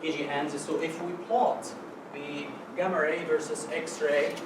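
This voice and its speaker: A man from Egypt giving a scientific conference talk, halting and full of fillers and restarts. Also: on the high side, level tone, noisy background. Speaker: conference speaker. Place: conference lecture hall. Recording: echoey lecture hall, mic at a distance.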